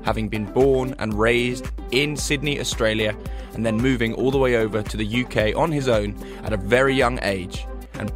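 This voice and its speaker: A man hosting an interview podcast, giving earnest, measured introductions. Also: lowish voice. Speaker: podcast host. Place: London.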